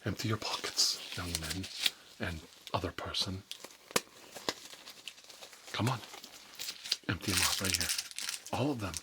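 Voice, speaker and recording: A man playing a stern, pompous school principal in a whispered ASMR role play, scolding pupils in halting, drawn-out phrases. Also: low-pitched, heavy background noise. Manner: pompous, halting, drawn-out, repeats words for emphasis.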